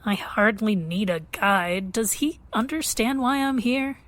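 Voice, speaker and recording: An actress playing a self-assured, commanding high-born woman who speaks formally in an audio drama. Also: a little slow, a bit bright, no echo. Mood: cool, skeptical.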